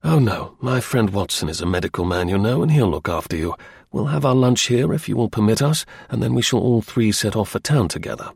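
A man voicing a brisk, observant gentleman detective in a storybook, incisive, courteous and authoritative. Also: lowish voice, animated, vocal fry, breathy edge, dry recording, studio quality, full character voice. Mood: calm, decisive.

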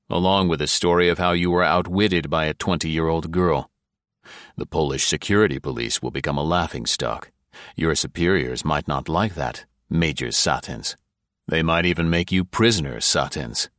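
A man as a low, quiet, tough American agent. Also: dry recording, clean studio sound. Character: tough, cool-headed male American agent.

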